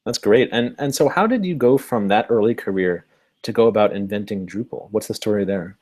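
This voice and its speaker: A man's voice: on the low side, brisk, darkish tone. A man hosting an interview, welcoming the audience and introducing his guest formally. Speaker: interviewer, host. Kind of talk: hosted interview.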